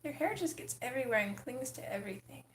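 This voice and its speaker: A woman hosting a casual livestream, chatting with viewers as she colors, her voice a little gravelly from just waking up. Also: sits high, lively intonation, fast talker, a bit bright, echoey room, slight background noise. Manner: chatty, reads and answers chat comments. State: slightly gravelly morning voice. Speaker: livestream host.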